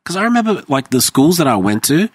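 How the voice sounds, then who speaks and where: A man's voice: a little high, very animated, fast, slightly rough, no room sound. A man in his forties with an Australian accent, Greek as his first language, telling stories in casual chat with swearing and acted-out quotes. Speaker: podcast host.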